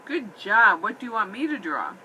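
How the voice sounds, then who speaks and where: A woman's voice: mid-range voice, sing-song, normal speed, bright, forceful tone, slight background noise, low-quality recording. A woman chatting simply and encouragingly as a mother in an improvised puppet show, recorded on a tablet at home. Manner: encouraging, asks many simple questions. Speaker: mother playing puppets with her son. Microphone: iPad microphone.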